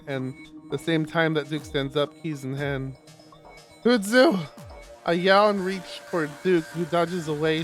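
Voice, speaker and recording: A man streaming a live read-aloud of a visual novel, expressive, with stumbles and casual asides. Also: on the high side. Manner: expressive, stumbles over words while reading.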